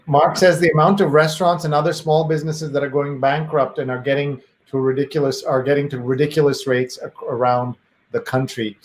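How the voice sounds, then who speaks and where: A man's voice: a little high, level tone, a little fast. Curious male host on a video call. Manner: curious and probing, reads viewer comments aloud. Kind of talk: casual interview questions.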